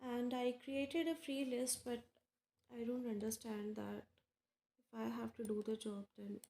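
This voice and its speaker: A woman with an Indian English accent, hosting a live tarot stream and chatting casually with viewers by name, unhurried and full of fillers. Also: fairly high pitch, level tone, gravelly, dark tone. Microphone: earphones with mic. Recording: echoey room.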